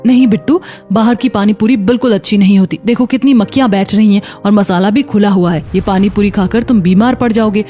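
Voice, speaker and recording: A woman's voice: mid-range voice, fairly quick, few pauses, dark tone, soft background music, natural voice. A woman voicing a humble, hardworking young housemaid in a cartoon story, polite and earnest. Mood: polite, coaxing. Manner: polite, earnest, put-upon.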